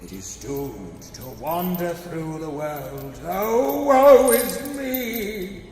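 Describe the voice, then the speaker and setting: Man acting out a chained old ghost, wailing, drawn-out, slow, lively swoops in pitch, echoey hall reverb, faint music. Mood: mournful. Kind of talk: radio play acting.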